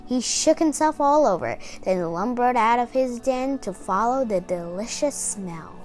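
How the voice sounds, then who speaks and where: Female children's storyteller, high-pitched and unhurried, lively read-aloud delivery. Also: soft background music.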